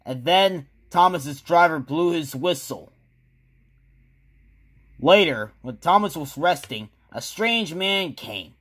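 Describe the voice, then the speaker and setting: A man narrating a scripted horror story with a dramatic, drawn-out delivery. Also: fairly high pitch, animated, fairly bright tone.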